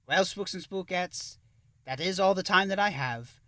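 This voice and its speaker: A man's voice: sits high, normal speed, fairly bright tone, dry recording, recorded from a distance. A man playing a genial, self-important thousand-year-old vampire who hosts an interview show in a theatrical, effusive manner. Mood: grand, grateful. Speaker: show host. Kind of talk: in-character interview hosting.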